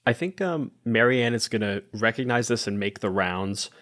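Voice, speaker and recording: Man, slightly low voice, tabletop game player, casual, thinking aloud, reworded clauses. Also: expressive, normal speed, fairly bright tone. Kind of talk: casual roleplay talk, describing his character's actions.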